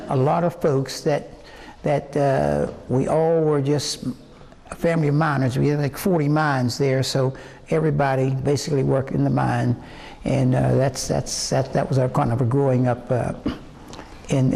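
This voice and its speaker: Gravelly older man reminiscing, halting. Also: mid-range voice, flat delivery, darkish tone. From West Virginia. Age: 80s. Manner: halting, rambling storyteller with many fillers and restarts. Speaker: singer interviewed about his past. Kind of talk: reminiscing interview answers.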